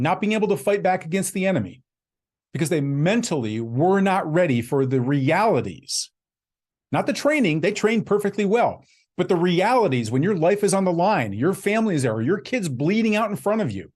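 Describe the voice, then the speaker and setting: A man's voice: fairly high pitch, darkish tone. A man in his fifties hosting a podcast, delivering a blunt, emphatic motivational monologue. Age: 50s. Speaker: podcast host.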